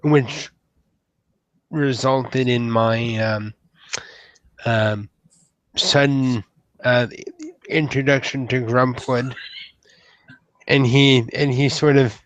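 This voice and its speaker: Man playing a halting, very slow-spoken young troll. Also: mid-range voice, steady tone, fairly bright tone, dry recording, rough recording. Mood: halting, apologetic. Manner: halting, stammering, formal wording. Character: hesitant, courteous young newly made troll, earnest would-be knight. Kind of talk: live roleplay improvisation.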